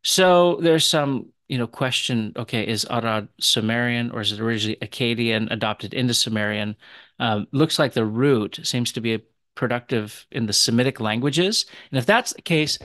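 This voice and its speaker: A man's voice: mid-range voice, moderate pace, a bit bright, dry recording. A man teaching a recorded language lesson, explaining in a chatty way. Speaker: teacher of Aramaic.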